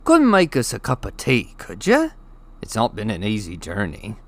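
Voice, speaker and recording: A man's voice: on the low side, huge pitch swings, normal speed, exaggerated voice. A man voicing a huge, brash, jovial bearded giant in a storybook reading, with folksy, colloquial speech and dropped endings. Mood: jovial, casual.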